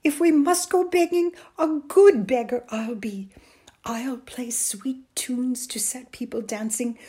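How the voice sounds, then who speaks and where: A woman voicing an eager, pleading young shepherd boy in a storybook tale, earnest and excitable. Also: fairly high pitch, takes it slowly, pitched above the performer's own voice. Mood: tender, hopeful.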